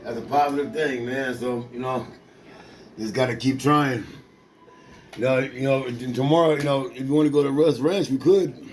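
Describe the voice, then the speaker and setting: A man in his forties, a boxer, speaking at an ordinary middle pitch. He rambles in a loose, streetwise way, looping back on himself and restarting his sentences as he talks to a companion. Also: steady tone, normal speed, soft background music. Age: 40s. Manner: loose, repetitive, streetwise slang with frequent restarts. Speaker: amateur boxer. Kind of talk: casual rambling talk to a companion.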